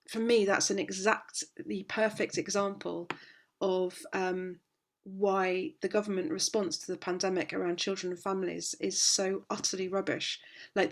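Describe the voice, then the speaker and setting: A woman with a slightly hoarse voice, a guest on a video call speaking with indignant passion about parenting. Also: mid-range voice. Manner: impassioned, indignant, with many restarts. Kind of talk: conversational interview, passionate advocacy.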